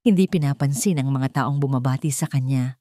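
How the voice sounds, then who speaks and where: A woman narrating a scripted love story in a dramatic, measured storytelling manner. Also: extremely low pitch, mellow, no echo, clean studio sound.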